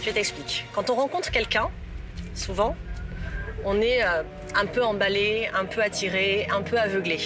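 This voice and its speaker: A middle-aged woman coaching in a calm, instructive voice. She explains things step by step, with music playing quietly behind her. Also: mid-range voice, moderate pace. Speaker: love coach. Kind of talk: coaching talk. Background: background music.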